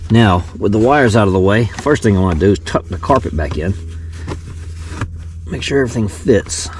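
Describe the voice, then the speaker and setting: A man's voice: lowish voice, lively intonation, normal speed, a little mellow. A man giving a casual, folksy how-to while working inside a vehicle, thinking aloud as he goes. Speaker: vlogger demonstrating a car radio install. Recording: clear background noise in a vehicle.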